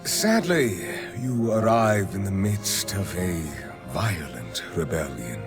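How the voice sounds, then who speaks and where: A man's voice: sits low, lively intonation, takes it slowly. A man playing a grandiose, manipulative aristocratic vampire master in a video game, speaking in a pompous, silky, theatrical manner. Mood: grandly courteous. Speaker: ruler addressing subjects. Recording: dramatic orchestral music behind.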